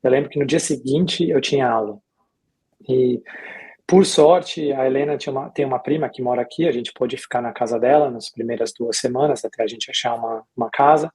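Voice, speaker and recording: A middle-aged man with a Brazilian Portuguese accent, speaking as an interview guest in a casual, reflective way, pausing and repeating himself as he recounts his experience. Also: mid-range voice, a little mellow, slightly rough, poor audio. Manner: reflective, hesitant with pauses and repetitions. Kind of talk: casual conversational storytelling.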